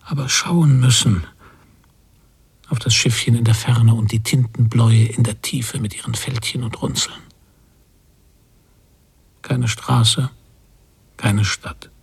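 A brooding emigrant father in a literary radio play. His voice is very husky with a gravelly creak, musing in short, verbless fragments with a melancholy temper. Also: medium pitch, steady tone, full character voice. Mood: reflective. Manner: slow-building, brooding reflection in verbless fragments. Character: melancholy post-war emigrant father on a ship's deck. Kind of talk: literary radio drama monologue.

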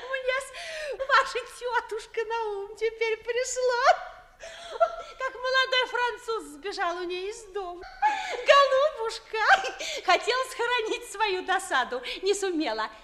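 A pert, very high-voiced maidservant. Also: female voice, big pitch swings, normal speed. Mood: laughing, gossipy. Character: pert, merry, sly young maidservant. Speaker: stage actress. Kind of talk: classical verse comedy acting, 1950s audio play.

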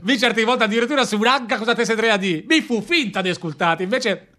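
A man's voice: high-pitched, brisk, pushed and bright. An actor playing a naive, stubborn working man with a Lombard accent, telling things in rambling, repetitive dialect in a theatre drama. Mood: wistful, animated. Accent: northern Italian, Lombard. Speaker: stage actor in a radio theatre play.

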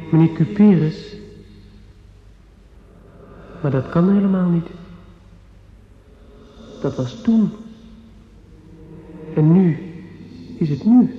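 An actor playing a feverish fifteen-year-old boy in a radio play, earnest and dreamy, hesitantly groping for words. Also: on the high side. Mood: delirious, distressed. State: ill, sore throat. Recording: dull lo-fi old radio recording.

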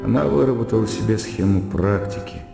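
Brooding gentleman, low and echoing. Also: brisk, gravelly. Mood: cool, judging. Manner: reflective, bookish, rhetorical self-questioning. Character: educated, self-justifying young gentleman brooding in inner monologue. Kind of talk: radio drama acting, interior monologue. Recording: reverberant hall echo, music underneath.

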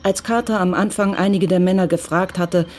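A narrator telling a crime radio play in even, measured, scripted narration. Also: mid-range voice.

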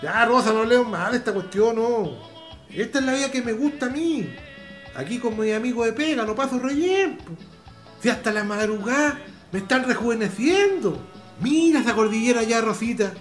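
A man's voice: extremely high pitch, expressive, normal speed, soft background music. An actor playing an affectionate, stubborn old farmhand father with a rural Chilean accent and colloquial country speech, in a radio drama. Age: older.

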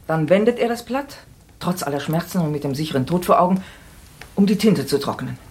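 A woman's voice: low-pitched, expressive, a little fast, a little mellow. An actress playing an assertive, coolly reasoning lady doctor in a German radio mystery, speaking precisely and emphatically. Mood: accusing, precise. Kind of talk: German radio crime drama acting.

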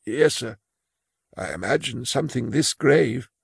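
A man's voice: mid-range voice, animated, unhurried, no room sound, studio quality, heavily acted. An actor playing a frail, elderly male clerk who is anxious and quavering, in an audio drama. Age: older. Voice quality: gravelly, husky.

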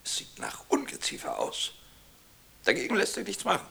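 An actor playing an irascible ship's captain in a radio play, swearing, barking orders and switching between muttering and shouting. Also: high voice, huge pitch swings, moderate pace, gravelly, whispered, exaggerated voice. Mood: bleak, baffled. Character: irascible, bellowing male ship's captain, bewildered and commanding.

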